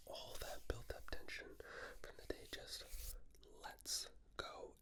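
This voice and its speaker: Guy doing a whispery asmr boyfriend, sweet but cocky, teasing, super breathy and close. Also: bright, forceful tone. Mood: playfully boastful. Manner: intimate, teasing, self-satisfied, slow. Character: affectionate, playfully cocky boyfriend giving a back massage.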